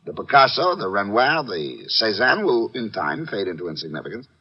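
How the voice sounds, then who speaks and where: An actor playing a self-satisfied rich art collector in a 1950s radio drama, effusive and grandiose in manner. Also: male voice, lowish voice, big pitch swings, moderate pace, husky. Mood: rapturous. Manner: effusive, grandiose, repeats words for emphasis. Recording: dull old radio recording.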